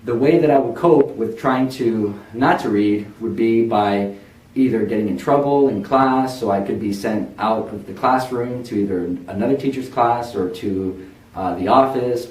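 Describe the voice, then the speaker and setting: A man's voice: on the low side, darkish tone. A man who runs a learning center, earnestly telling parents his own story about school and his stutter. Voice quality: says he stutters. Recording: echoey room, a bit far from mic.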